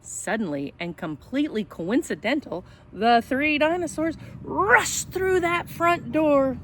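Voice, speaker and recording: A woman's voice: sits high, lively intonation, measured pace, a little hiss or hum. A woman reading a picture book aloud for children in a playful, dramatic way, with chatty asides to the listeners.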